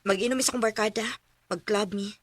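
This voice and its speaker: A woman's voice: medium pitch, fairly even intonation, brisk, dry recording. A woman acting in a radio drama, carefree and defiant in manner.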